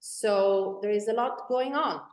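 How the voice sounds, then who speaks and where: A woman's voice: medium pitch, a little slow. An Italian woman with a German-tinged accent, a real estate executive answering interview questions in a composed, businesslike way. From Italy. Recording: echoey room.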